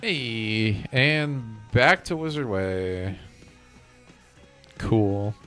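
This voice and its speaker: A man's voice: fairly low pitch, very slow, pushed and bright. A man chatting casually as he livestreams a video game. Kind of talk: casual gaming chat. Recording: music playing behind the voice. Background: music.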